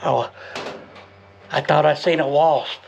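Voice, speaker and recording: American male vlogger, folksy and off-the-cuff, gravelly delivery, faintly noisy action-camera sound. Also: a little high, fairly even intonation, slow pace, muffled recording. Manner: folksy, rambling, muttering to himself. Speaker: DIY motorcycle vlogger. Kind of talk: casual unscripted how-to vlog chat. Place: shed workshop.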